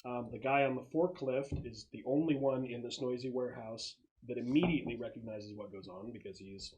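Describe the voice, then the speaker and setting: A man's voice: medium pitch, a little fast, a little mellow, rough recording. Man running a tabletop game, conversational. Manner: conversational, explanatory. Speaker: game master. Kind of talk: explaining rules and narrating a tabletop game.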